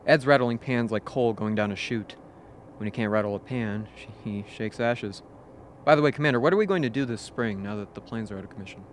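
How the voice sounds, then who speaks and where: Man acting a wry, low-voiced crewman. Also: a little fast, natural voice. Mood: wry, breezy. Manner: sardonic, breezy. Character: wry, blunt, folksy expedition crewman. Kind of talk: radio play acting. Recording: faint background noise.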